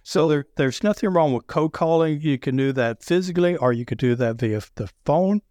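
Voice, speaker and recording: A middle-aged man with a deep Southern accent, talking loudly and telling sales stories with many restarts. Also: moderate pace, no room sound, studio quality. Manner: loud, animated storyteller with frequent restarts. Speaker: sales podcast guest. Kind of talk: casual conversation.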